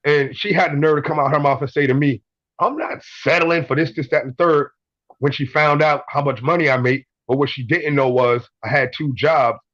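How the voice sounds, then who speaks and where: A man's voice: on the high side, fairly quick, a bit bright, no room sound, highs cut off. A man in his twenties calling in to a show, telling blunt stories, swearing and repeating himself. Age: 20s. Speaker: caller. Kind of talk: casual call-in story.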